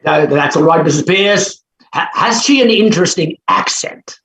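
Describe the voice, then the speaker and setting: An actor playing an energetic, impetuous, genially bullying phonetics professor in a staged play reading, with brisk, stammering starts. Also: male voice, fairly high pitch, creaky. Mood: jovial, eager. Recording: echoey, dull, noisy video-call audio.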